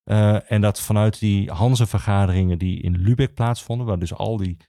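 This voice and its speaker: A man the explains history informally as an expert guest, halting and repeating himself as he searches for words. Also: on the low side, fairly even intonation, mellow, no room sound, studio quality. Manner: hesitant, halting, with many pauses and repetitions. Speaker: archivist, podcast guest.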